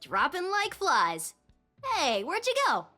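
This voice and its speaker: A woman's voice: sits high, slow, faint music behind, heavily acted. A woman playing a small, brash, reckless female yordle cannoneer who loves explosions, in video game voice lines. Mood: cocky. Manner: brash, gung-ho, excitable.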